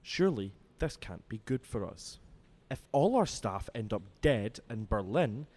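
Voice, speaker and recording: Man playing a mellow, exasperated vampire councillor. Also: fairly low pitch, very animated, a little slow, exaggerated voice. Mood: impatient, worried. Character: exasperated, businesslike male vampire councillor, dry and wary. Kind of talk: audio drama acting.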